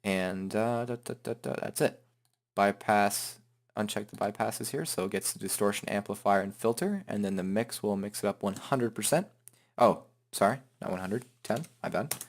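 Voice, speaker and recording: Casting: male tutorial host, lowish pitch, loose and chatty, unscripted step-by-step delivery. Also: normal speed. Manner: informal, rambling, hums filler syllables while working. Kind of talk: casual improvised software tutorial.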